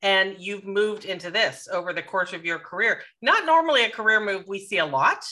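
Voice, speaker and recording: A woman's voice: medium pitch, animated, fairly quick, pushed and bright. An American woman interviewing casually as a podcast host.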